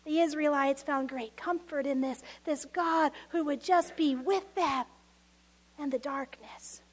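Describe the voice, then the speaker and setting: A woman with a Texas accent preaching in a very high voice. She talks slowly and earnestly, leaning hard on key words. At times her voice sinks almost to a whisper. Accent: Texan. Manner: earnest, emphatic, drops to near-whispers. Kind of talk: sermon.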